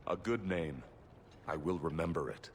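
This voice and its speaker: A man's voice: rumbling low, moderate pace, slight background noise. A man voicing a proud, grave exiled dwarven nobleman in a video game, formal and solemn. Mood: solemn, grateful.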